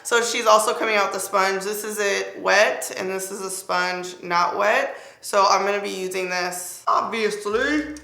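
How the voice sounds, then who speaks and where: A young woman with a raspy voice, chatting excitedly as she reviews a makeup product. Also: mid-range voice, measured pace, roomy sound. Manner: chatty, excited, filler-heavy. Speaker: beauty vlogger.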